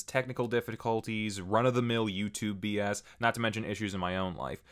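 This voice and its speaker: A man with a somewhat low voice speaks as the host of an online channel. His pitch moves around a lot, giving the delivery an animated swing. He addresses viewers in an informal, prepared update style, dropping in wry asides. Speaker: channel host and video creator. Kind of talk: pre-recorded channel update, casual scripted address to viewers.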